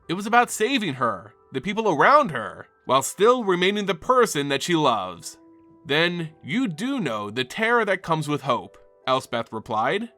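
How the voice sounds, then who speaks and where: A man's voice: sits high, pushed and bright. A man reading scripted fantasy lore as a host and narrator, with a theatrical, earnest storyteller delivery. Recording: music behind the voice. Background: background music.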